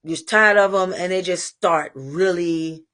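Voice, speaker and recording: A woman with a Trinidadian accent hosting a live talk show, speaking candidly and rambling with pauses to find her thread. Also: fairly low pitch, a bit bright. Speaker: host. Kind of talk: casual livestream monologue.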